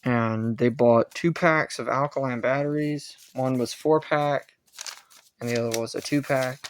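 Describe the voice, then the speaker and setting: A man giving a casual, rambling hobbyist gadget review, halting and often correcting himself as he goes. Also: mid-range voice, fairly even intonation, clear, resonant tone. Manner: halting, self-correcting, unscripted.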